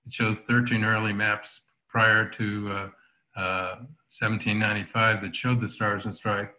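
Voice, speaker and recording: A man presents his favorite map over a video call, hesitant and full of fillers. His voice is bright and pushed forward, and the room behind him echoes. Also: on the low side, little pitch movement, unhurried. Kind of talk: online lecture. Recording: roomy echo on call audio.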